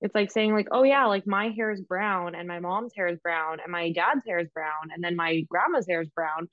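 A young woman speaking over a laptop microphone from a small room at home, her voice sitting a little low and slightly muffled. She talks in a loose, animated way, full of filler words, as a guest in a casual interview. The sound is close and dry. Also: normal speed, no echo. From Canadian prairies. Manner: animated, gesturing, many filler "like"s. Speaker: registered dietitian guest. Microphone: laptop microphone on video call.

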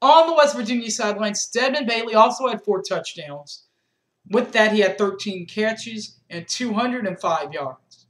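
A man's voice: high-pitched, animated, moderate pace, fairly bright tone, rough recording. A young man recapping a college football game from his bedroom, reading scores and stats methodically with restarts, recorded on a webcam. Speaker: sports fan reviewer. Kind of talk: postgame recap. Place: small bedroom indoors. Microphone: webcam at desk.

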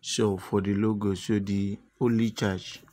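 A man narrating a step-by-step software tutorial unscripted, with halting, repetitive instructional phrasing. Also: lowish voice, little pitch movement. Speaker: tutorial presenter.

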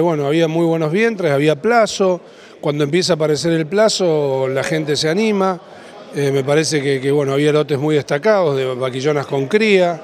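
A man in his thirties with an Argentine accent, answering interview questions as a livestock auctioneer, in a halting way that repeats and rephrases. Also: on the high side. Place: large indoor event hall after an auction. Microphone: handheld interview microphone.

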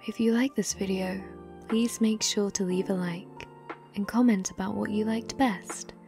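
A woman's voice: medium pitch, steady tone, a little slow, gravelly, warm tone, faint music behind. A woman hosting an ASMR channel, reading a scripted outro to listeners.

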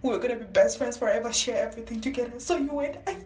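Casting: actress as betrayed twin sister, anguished and accusing, highish voice, melodrama, recorded in an echoey room. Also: female voice, racing, a little mellow, lightly acted. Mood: hurt, incredulous. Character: betrayed, bitter twin sister who raised her nephew. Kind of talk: melodramatic audio-drama acting. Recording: echoey room, distant mic, noisy, clicks.

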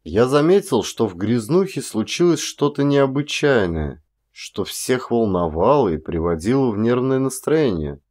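A man reading an audiobook as an actor, telling a literary story in a measured, expressive way. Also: mid-range voice.

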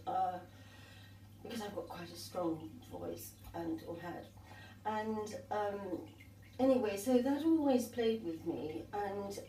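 An older woman, an artist, telling her story in a rambling, reminiscing way at a tea table in a glass conservatory. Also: mid-range voice. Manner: rambling, warm reminiscing with restarts. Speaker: artist and guest. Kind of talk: conversational storytelling. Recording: echoey room, distant mic, faint hiss.

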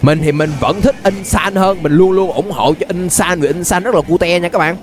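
A young man with a southern Vietnamese accent giving excited, exclamatory gameplay commentary, often repeating phrases as he reacts. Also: sits high, moderate pace. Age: young adult. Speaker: gaming YouTuber, host. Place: indoors at a gaming setup. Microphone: desk microphone close to the face.

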